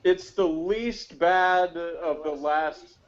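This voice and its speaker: A man's voice: on the high side, extremely slow. A man giving a casual, wry, rambling review as a panelist in a chat. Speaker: podcast panelist. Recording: dull lo-fi call audio.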